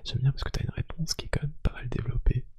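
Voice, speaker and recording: A man speaking in a soft, hushed ASMR roleplay style, gently asking questions in an unhurried, hesitant, lightly teasing way. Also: medium pitch, fairly even intonation. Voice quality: hushed, breathy, half-whispered. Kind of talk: soft-spoken ASMR roleplay, one-sided conversation.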